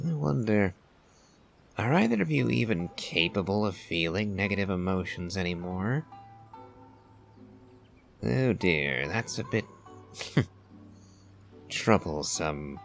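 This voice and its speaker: A man's voice: low voice, expressive, slow pace, vocal fry, long pauses, soft background music. A man giving casual, musing commentary as he plays through a video game. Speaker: streamer playing and reacting to a game.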